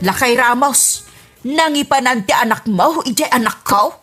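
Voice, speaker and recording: A woman's voice: moderate pace, over music. A woman with an Ilocano accent acting in a radio comedy drama, scolding and demanding. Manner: scolding and demanding, pitch swooping up when indignant. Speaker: voice actress in an audio comedy drama. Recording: radio drama recording with some faint reverb.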